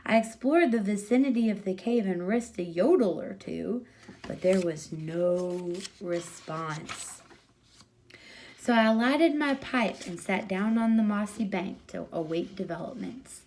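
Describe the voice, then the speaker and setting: A woman's voice: mid-range voice, lively intonation, unhurried, darkish tone. A woman reading a short story aloud as a storyteller, in scripted narration.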